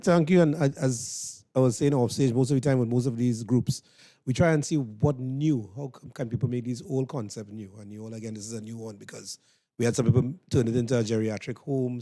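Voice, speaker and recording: A man with a Trinidadian accent chats as an interviewer. His voice is mellow and medium in pitch, recorded dry, and his questions ramble conversationally. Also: dry recording. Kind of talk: casual backstage interview. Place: interview room just off stage.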